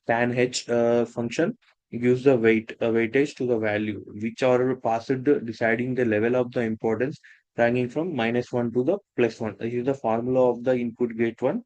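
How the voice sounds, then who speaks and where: A man teaching a technical lesson, talking in a halting way with many restarts and fillers. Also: mid-range voice, darkish tone, no echo, poor audio. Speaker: instructor. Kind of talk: technical lecture.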